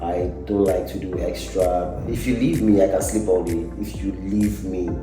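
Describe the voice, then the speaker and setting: A young adult Nigerian man, a guest chatting in a relaxed, thoughtful way with soft laughs. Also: low-pitched, moderate pace. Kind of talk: casual interview chat. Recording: echoey room, mic at a distance, faint music.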